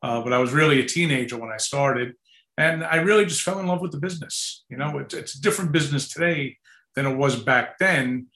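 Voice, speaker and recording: A man in his sixties with a New York accent, a company founder chatting in an interview, relaxed and rambling with many fillers. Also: mid-range voice, brisk. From New York City. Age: 60s. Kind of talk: casual interview chat. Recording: roomy echoey sound.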